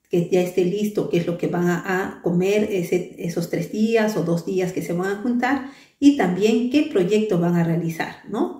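A woman's voice: mid-range voice, warm tone, recorded from a distance. A woman in her thirties, a crochet channel host, explaining patiently to the camera with frequent fillers and tag questions. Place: small bright room indoors. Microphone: camera at a distance, head and shoulders framing. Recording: echoey room, voice a bit off-mic.

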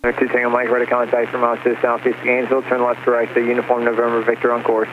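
Very rapid male controller, tinny radio. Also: medium pitch, monotone. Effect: aviation radio. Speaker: air traffic controller. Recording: tinny, noisy aviation radio.

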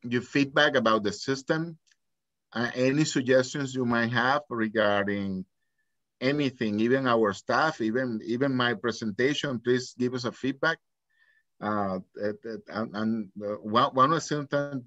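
A man with a Venezuelan accent talks haltingly as a webinar guest, stumbling over restarts. The call audio is dry and slightly dull. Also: mid-range voice, a bit bright, dry recording. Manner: hesitant, many restarts and fillers. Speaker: software company guest. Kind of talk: casual webinar talk.

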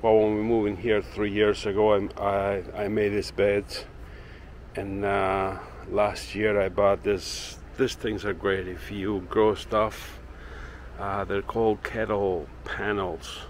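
A man's voice: fairly low pitch, slow, slight background noise. A man giving a casual walking tour of his backyard garden and workshop, talking loosely with many fillers while holding the camera. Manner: rambling, filler-heavy, relaxed. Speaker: woodworking channel owner. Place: backyard garden outdoors, then indoor workshop. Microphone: handheld camera held by the speaker.

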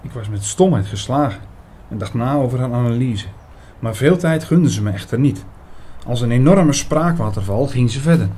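A man's voice: medium pitch, animated, normal speed, mellow, husky. A man playing an attentive investigator who narrates his own story in a scripted radio drama. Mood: measured, reflective. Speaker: narrator and lead actor in an audio drama.

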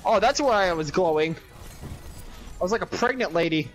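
High, swooping male gamer; loud exclaims, swears. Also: moderate pace, soft background music. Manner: excitable, exclaiming. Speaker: gamer in voice chat. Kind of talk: casual game chat.

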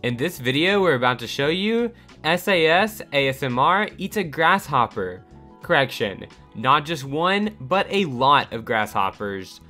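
Lively man narrating a countdown. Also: a little high, a little slow. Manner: upbeat, lively countdown delivery. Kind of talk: scripted voice-over narration. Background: soft background music.

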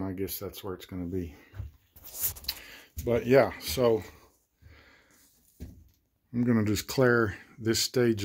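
Older man with a low, mellow voice. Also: husky. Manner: plain, unhurried, with many fillers. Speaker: DIY vlogger. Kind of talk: casual work narration. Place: unfinished barn room with plywood walls.

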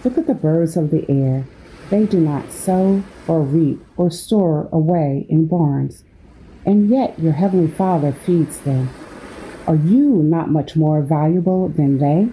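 Low, slow, mellow woman guiding sleep meditation. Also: a little hiss or hum, poor audio. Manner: unhurried, measured delivery with pauses between phrases. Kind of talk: guided sleep meditation with scripture reading.

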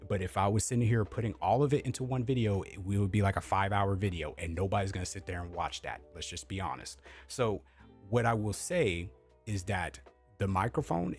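A man with a deep voice giving a blunt, opinionated gear review at home, close to a studio mic, repeating himself and swearing casually. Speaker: tech content creator. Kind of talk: opinionated product review rant. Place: home streaming room with LED lights. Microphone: studio mic on boom arm, close.

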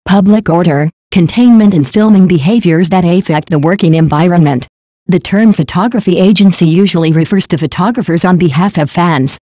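A synthetic-sounding narrator reading a celebrity news script in an even, mechanical way. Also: mid-range voice, dry recording.

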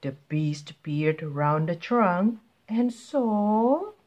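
Expressive, slow-paced woman reading a storybook. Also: lowish voice, highs cut off. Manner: expressive, deliberate. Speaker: children's story reader. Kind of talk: read-aloud picture book narration.

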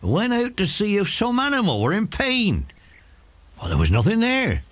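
An actor playing an old, plain-spoken country shepherd in a children's audio drama, slow and full of wonder. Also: male voice, high voice, huge pitch swings, no echo, heavily acted. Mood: troubled, puzzled. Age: older.

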